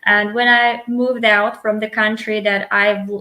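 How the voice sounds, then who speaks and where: A woman's voice: mid-range voice, little pitch movement, unhurried, bright, forceful tone, low-quality recording. A woman from Azerbaijan, not an English speaker, talking as a podcast guest in a casual, thoughtful way, often laughing and searching for words. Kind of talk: casual conversational interview.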